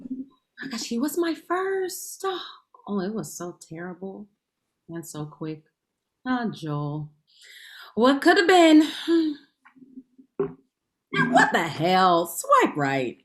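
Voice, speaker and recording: A woman's voice: mid-range voice, huge pitch swings, takes it slowly, long pauses. A woman playing a sassy, blunt, man-hungry best friend in a comedy table read, brassy and emphatic. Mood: gleefully picky.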